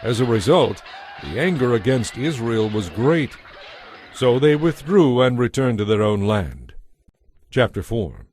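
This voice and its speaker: A man narrating a dramatized audio Bible in a measured storytelling manner. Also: mid-range voice, very animated, darkish tone, faint music behind.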